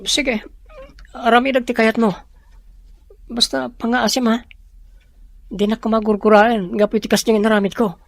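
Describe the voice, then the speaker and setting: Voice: medium pitch, little pitch movement, moderate pace, creaky, long pauses, dry recording, natural voice. An actor playing an ardent young male suitor, eager and apologetic, in a radio drama with an Ilocano Filipino accent. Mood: pleading. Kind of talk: Philippine radio drama acting.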